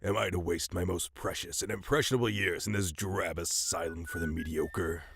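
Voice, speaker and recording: A man's voice: sits low, fairly even intonation, normal speed, vocal fry, fairly bright tone, slight background noise. A man playing a pompous ten-year-old boy genius and aspiring evil scientist in an audio drama, speaking theatrically in elaborate words. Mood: disdainful.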